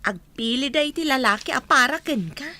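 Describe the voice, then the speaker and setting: A woman's voice: high-pitched, lively intonation, normal speed, bright, forceful tone, no room sound. A woman acting a concerned, frank young best friend in a radio drama, with an Ilocano Filipino accent. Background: faint music.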